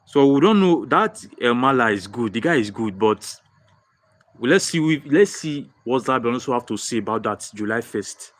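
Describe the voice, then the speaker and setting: Young Nigerian-accented man, animated fan-stream host who loops phrases, mid-pitched voice. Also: moderate pace. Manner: excitable, repeats phrases, frequent restarts. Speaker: football livestream host. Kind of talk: live-stream fan chat reading viewer comments.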